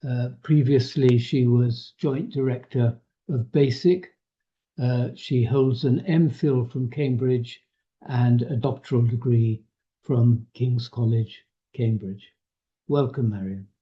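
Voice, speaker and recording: A man's voice: mid-range voice, steady tone, takes it slowly. A man chairing an online event and introducing the guest speaker, hesitant and full of fillers. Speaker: chair of the committee. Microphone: video call. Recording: dull lo-fi call audio.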